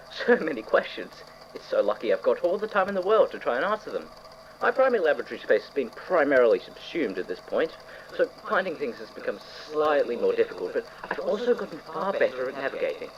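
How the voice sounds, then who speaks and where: A man playing an eccentric, obsessive scientist in an audio drama, musing aloud to himself with blithe cheer. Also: a little high. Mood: giddy excitement. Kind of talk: audio drama lab log monologue. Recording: dull, distorted tape with clear background noise.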